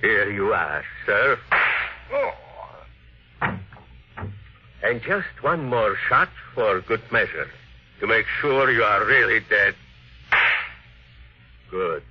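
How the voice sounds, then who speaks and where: An actor playing a grave, resentful foreign engineer in a 1950s radio drama, speaking formally and deliberately. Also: male voice, lowish voice, animated, a little hiss or hum. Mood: coldly accusing. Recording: muffled old radio broadcast recording.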